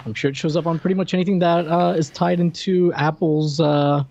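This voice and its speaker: A man's voice: on the high side, fairly even intonation, a little fast, gravelly, a little mellow, dull audio. A man co-hosting a podcast, chatting casually and dropping in dry, joking asides. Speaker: podcast co-host. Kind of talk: casual conversational chat.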